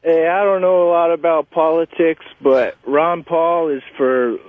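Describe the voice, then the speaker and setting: A man's voice: on the high side, steady tone, unhurried, dry recording. A man calling a radio show by phone, chatting casually. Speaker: phone-in caller. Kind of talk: casual chat. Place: outdoors. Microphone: telephone. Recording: dull phone call, voices behind.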